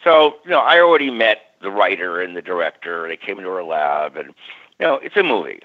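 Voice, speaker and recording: An older male parapsychologist telling stories as a radio guest, lively, acting out quoted voices. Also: low voice, brisk. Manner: animated, acts out quoted voices. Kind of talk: storytelling in a radio interview. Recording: muffled radio audio.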